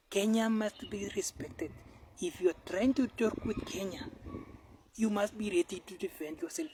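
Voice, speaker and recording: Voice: mid-range voice, warm tone. A person giving emphatic warning commentary in firm, repetitive declarative statements. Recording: clearly noisy recording with clicks.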